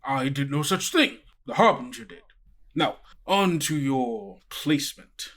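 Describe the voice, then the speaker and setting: A man's voice: medium pitch, lively intonation, unhurried, fairly bright tone. A man voicing a stern owl school principal in a tabletop game, formal, condescending and coldly authoritative. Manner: formal, haughty, belittling.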